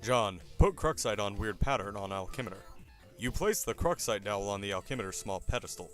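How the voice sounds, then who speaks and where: A man's voice: lowish voice, lively intonation, brisk, fairly bright tone, soft background music. A man narrating a scripted comic dub in a theatrical, mock-serious style.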